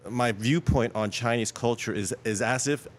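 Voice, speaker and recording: A man's voice: mid-range voice, normal speed. A middle-aged man who grew up in Queens, New York, telling rambling anecdotes as a guest in a casual interview. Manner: rambling, self-correcting, animated anecdotes. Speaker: guest, app developer.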